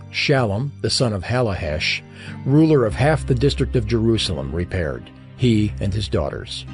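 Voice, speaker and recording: A man narrating scripture from a script in a solemn, dramatic style with measured phrasing. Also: medium pitch. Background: background music.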